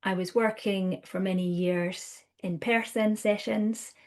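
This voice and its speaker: A Scottish woman in her thirties, a counsellor talking through her work in a chatty, animated way, often restarting her sentences. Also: mid-range voice, slow. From Scotland. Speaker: guest counsellor. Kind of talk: conversational podcast interview.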